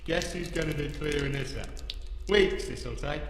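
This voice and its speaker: A gravelly British robot butler acted by a man, grumbling like an ordinary working bloke. He is chatty and matter-of-fact, pitched a little high, in comic audio drama style. The sound is heavily reverberant. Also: moderate pace, slight background noise. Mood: grumbling, bemused.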